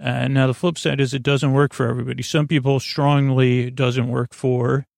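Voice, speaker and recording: A man hosting a sleep podcast, rambling in a drowsy, meandering way and gently reassuring his listeners. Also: medium pitch, little pitch movement, moderate pace, creaky, no room sound, clean studio sound. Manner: meandering, drowsy, self-interrupting.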